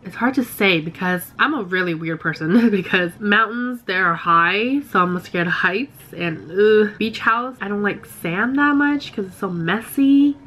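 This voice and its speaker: A woman's voice: medium pitch, measured pace, hardly any pauses, a little hiss or hum, low-quality recording. A woman in her twenties who sounds nasal from a cold, casually reading questions aloud and answering them chattily in her bedroom. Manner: reads each question number brightly, then answers chattily. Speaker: beauty vlogger.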